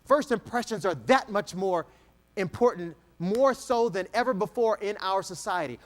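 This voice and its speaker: Male keynote speaker from Texas, high and lively delivery, playful with the crowd, dramatic pauses. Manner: animated, dramatic pauses, slow emphatic dictation; playful, jokes with the audience. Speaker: motivational speaker. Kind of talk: keynote speech to an audience.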